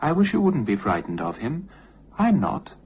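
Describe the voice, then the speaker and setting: A man voicing a calm, matter-of-fact girl of seven or eight in a storybook ghost tale, composed and plain-spoken. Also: medium pitch, moderate pace, voice pushed up. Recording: dull lo-fi recording with faint noise.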